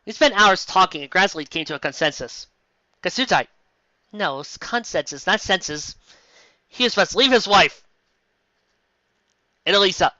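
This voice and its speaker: Sarcastic, bright-voiced fan fiction commentator. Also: mid-range voice, lively intonation, normal speed, pauses often, no echo, muffled recording. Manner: sarcastic, exasperated, theatrical asides. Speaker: reader and commentator. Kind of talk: comedic commentary on a fan fiction, read aloud.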